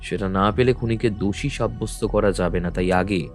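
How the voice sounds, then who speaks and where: A man playing a composed, incisive detective in a Bengali audio drama, speaking in a measured, assured, businesslike way. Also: on the low side, soft background music, lightly acted. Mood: composed, decisive. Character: composed, incisive male Bengali detective, a self-styled truth-seeker.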